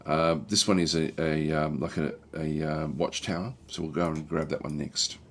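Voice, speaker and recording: A man with a croaky, recovering voice giving casual, halting livestream commentary as he plays a strategy game and chats with viewers. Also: extremely low pitch, flat delivery, a bit bright, a little hiss or hum. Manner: halting, thinking aloud. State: croaky throat, recovering from feeling unwell. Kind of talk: casual game commentary, reading and answering chat.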